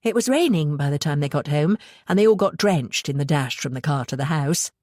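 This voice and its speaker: Low-voiced British woman narrating fiction. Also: expressive, fairly quick, a little mellow, no echo, clean studio sound. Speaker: audiobook narrator.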